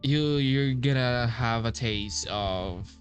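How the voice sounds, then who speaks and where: A man giving casual, informal playthrough commentary in a halting way, as a gamer talking over a video game. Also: mid-range voice. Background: game music.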